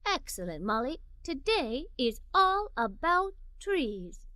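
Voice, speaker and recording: A woman's voice: sits high, big pitch swings, slow, pushed and bright, no echo, studio quality, full character voice. A woman voicing a kind, cheerful grandmother in a children's storybook, encouraging and joyful.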